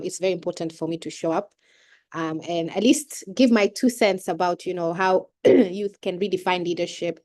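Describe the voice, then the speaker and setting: A woman's voice: fairly low pitch, darkish tone. A young woman with a cold, giving a chatty, informal keynote talk over a video call, with many fillers and restarts. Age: young adult. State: has a cold. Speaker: keynote speaker. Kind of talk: casual speech on a video call.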